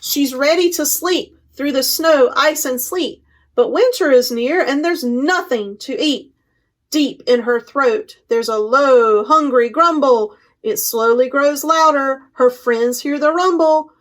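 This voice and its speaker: A woman hosts a children's storytime in a high-pitched voice. She reads at a slow, deliberate pace, leaning playfully on key words for dramatic effect. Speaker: children's librarian, storytime host. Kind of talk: expressive picture-book read-aloud for children.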